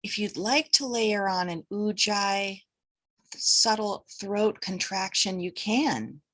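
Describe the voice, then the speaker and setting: A woman guiding breathwork and meditation as an instructor, gently giving instructions in short phrases with pauses between them. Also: mid-range voice.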